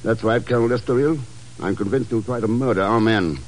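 An actor playing a cool, determined secret agent, clipped and wry, in an old-time radio drama. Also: male voice, medium pitch, brisk, slight background noise, lightly acted, low-quality recording. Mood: urgent, firm.

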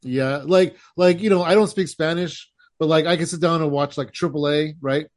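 An American man hosting a casual interview, easygoing and quick to agree. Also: on the high side, dry recording, clean studio sound. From United States. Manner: easygoing, says "hell yeah", laughs along. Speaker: host.

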